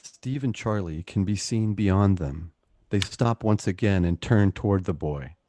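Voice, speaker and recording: A man's voice: deep voice, a little slow, dark tone, a little hiss or hum. A man narrating a suspense radio play in a dramatic, scripted style.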